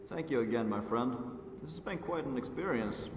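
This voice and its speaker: A man's voice: mid-range voice, animated, normal speed, background noise, lightly acted. A man playing a wry, friendly vampire adventuring companion in a fantasy game, chatty and conversational. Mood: grateful, relaxed. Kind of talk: fantasy game character acting. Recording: echoey, distant, music behind voice.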